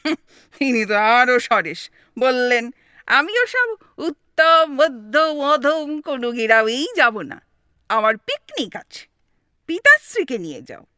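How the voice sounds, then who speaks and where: A woman playing an exasperated, sharp-tongued elderly wife with a West Bengal accent in a comic audio drama, scolding and sarcastic. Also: high voice, big pitch swings, slow pace, smooth, dry recording, clean studio sound, exaggerated voice. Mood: sarcastic, irritated. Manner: scolding, sarcastic, theatrically grumbling.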